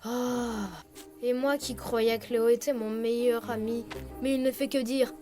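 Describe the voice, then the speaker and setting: A woman's voice: a little high, fairly even intonation, measured pace, warm tone, lightly acted. A woman voicing a childlike young male tiger cub in a children's cartoon, boastful and sulky in manner. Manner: childlike, indignant, bragging. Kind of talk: children's cartoon dub acting. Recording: music behind the voice.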